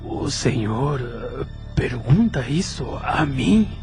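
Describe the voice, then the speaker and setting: A man acting a middle-aged grieving widower in an audio drama, with a husky, breathy voice, a Brazilian accent and a halting, emotional, formal delivery. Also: mid-range voice, big pitch swings, a little mellow, soft background music. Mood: hesitant. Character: grieving, tender widower, deeply moved gentleman.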